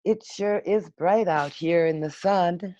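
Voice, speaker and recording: A woman voicing a restless, curious young male caterpillar in a storybook, expressive and wondering, on a muffled video call. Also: fairly low pitch, slow pace. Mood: bright, eager.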